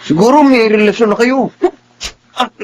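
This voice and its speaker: A man's voice: very high voice, moderate pace, a little hiss or hum. An actor playing a jealous, pleading young male suitor in a Filipino radio drama. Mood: jealous, accusing. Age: young adult.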